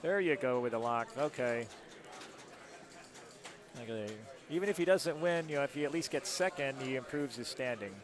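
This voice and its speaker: A man from Rochester giving live pinball tournament commentary, calmly explaining the rules and scoring of each shot. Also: medium pitch, moderate pace. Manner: explanatory, rule-focused play-by-play. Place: tournament hall. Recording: noisy crowd in the background.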